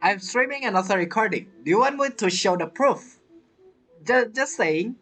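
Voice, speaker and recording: A woman streaming a game with casual, halting, joking commentary, talking back to the characters. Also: medium pitch, expressive, a bit bright. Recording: game music behind.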